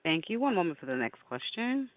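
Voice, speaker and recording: Man, operator announcements, fairly high voice, swinging intonation, muffled line. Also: very animated, a little fast, dry recording. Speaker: conference call operator. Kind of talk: formulaic call announcements. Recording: dry, dull conference call line.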